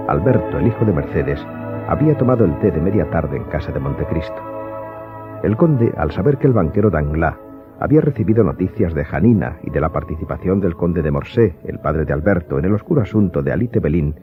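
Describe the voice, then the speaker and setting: A man narrates a dramatized audiobook from a script. His voice is very deep. The sound is muffled and narrow, with soft music behind him.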